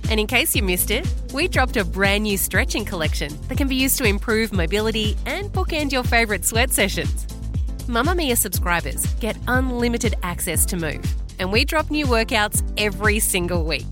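A woman's voice: medium pitch, sing-song, normal speed. A woman reading a scripted ad for a fitness app. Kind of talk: scripted ad read. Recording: music bed behind voice.

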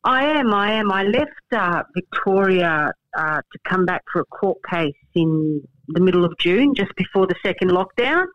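An Australian woman in her fifties, a barrister being interviewed, speaking in a measured, halting way with many fillers and repeated words. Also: lowish voice, lively intonation, no echo, highs cut off. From Darwin, Northern Australia. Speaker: barrister and guest. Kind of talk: podcast interview. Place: remote, from her hometown.

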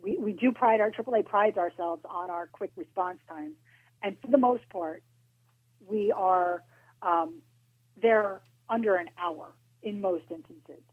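A woman's voice comes through a thin, tinny phone connection, a touch husky. She explains roadside safety in a measured, practical way, pausing now and then. Her pitch sits in an ordinary middle range. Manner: measured, practical, some hesitations. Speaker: auto club spokesperson guest. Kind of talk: informative interview answers. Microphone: phone line.

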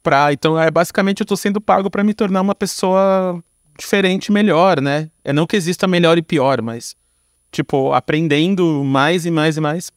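A Brazilian man with a fairly high voice speaks in a loose, informal interview style. He reflects aloud in slangy, conversational phrases. The recording is close and dry, with no room sound. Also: normal speed, no room sound. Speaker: podcast guest, content creator and director. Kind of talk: casual reflective interview talk.

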